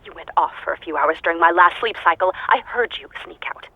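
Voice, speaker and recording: A woman's voice: on the low side, quick pace, dry recording. An actress voicing a lonely, unhinged woman scientist who is recording audio logs alone on a hostile planet. She speaks in a dry, clipped, detached way that drifts into manic glee. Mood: rambling. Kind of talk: game audio log monologue. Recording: dull lo-fi game audio log.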